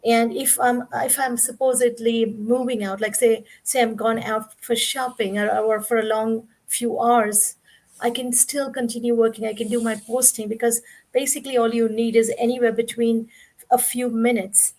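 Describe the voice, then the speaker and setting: A woman's voice: a little high, little pitch movement, normal speed, darkish tone, low-quality recording. A woman in her forties from India, a guest on a video call interview, telling her story in an earnest, conversational way. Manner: conversational, earnest, explains at length.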